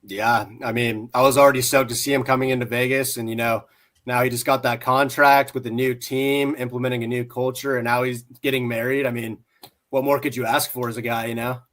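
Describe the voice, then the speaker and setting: A man appearing as a guest on a casual podcast, relaxed and easygoing with "you know" fillers. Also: mid-range voice, brisk.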